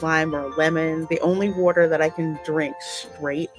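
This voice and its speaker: A woman's voice: low-pitched, steady tone, normal speed, over music. A woman with an American accent, a gaming host chatting with a co-host in a colloquial, playful way. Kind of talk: casual reaction chat with a co-host.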